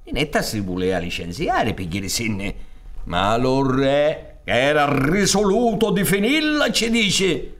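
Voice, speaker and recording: A man's voice: a little high, measured pace. A man in his sixties with a Sicilian accent narrating a folk tale in an emphatic, theatrical way. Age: 60s. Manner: emphatic, expressive, gesturing. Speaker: storyteller. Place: theater stage. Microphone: close studio microphone on stand.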